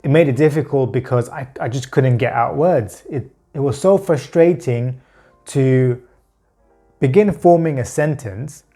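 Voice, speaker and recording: A man with a stutter speaks slowly to his viewers in a mid-pitched voice, often stalling on a word and repeating small ones before pushing on. Soft music plays faintly under his talk. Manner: speaks with frequent stuttering blocks and repeated words; slow, halting delivery with long pauses. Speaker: vlogger talking about his stutter. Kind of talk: personal talk to camera.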